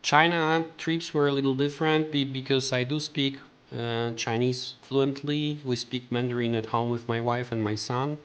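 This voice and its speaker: A middle-aged man from Europe with a European accent, speaking English as a non-native language, recalling his travels in a plain, halting way for a vlog. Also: mid-range voice, flat delivery, fairly bright tone. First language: not English. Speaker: vlogger. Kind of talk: personal travel recollections to camera.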